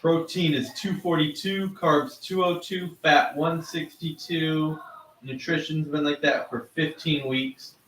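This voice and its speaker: A man reading viewers' check-in stats aloud in a flat, list-like way. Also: a little high, a little slow, a little hiss or hum. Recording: echoey, distant from the mic.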